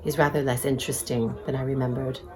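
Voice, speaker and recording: A woman's voice: very deep voice, level tone, fast. A woman playing an arch, flirtatious, inquisitive single woman in a dramatized novel. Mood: appraising. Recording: chatter of a crowd behind. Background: crowd chatter, pub ambience.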